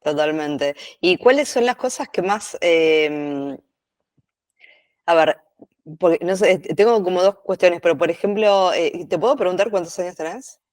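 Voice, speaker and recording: Male interviewer, forties, Argentine porteño accent, lightly high voice, informal winding questions, recorded with very little room sound. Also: a little slow, darkish tone, dry recording. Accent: Buenos Aires Argentine. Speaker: interviewer, host.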